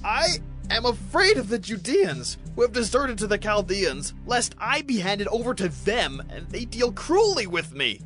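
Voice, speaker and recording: A man's voice: high voice, big pitch swings, measured pace, a bit bright, soft background music, full character voice. An actor playing a fearful, weak-willed ancient king in a dramatized scripture reading. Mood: afraid.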